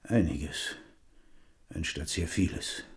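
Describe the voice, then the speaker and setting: An actor playing a grave, wary admiral with measured, weighty delivery in a German radio play. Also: male voice, extremely low pitch. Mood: grave warning.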